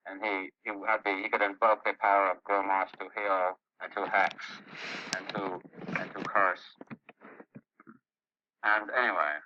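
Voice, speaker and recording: A crude goblin raider , voiced by a man, tells his tale in broken grammar, full of mocking insults and stumbling repeats. The voice sits fairly low. It comes through a dull, lo-fi recording. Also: flat delivery, measured pace, long pauses, background noise. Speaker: roleplayer telling a story in character. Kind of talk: in-character storytelling.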